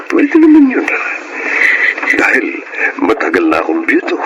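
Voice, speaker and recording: A man's voice: mid-range voice, wildly swooping pitch, unhurried, muffled recording, noisy background. An actor playing a middle-aged widowed father, remorseful and earnestly pleading, in a Filipino radio drama.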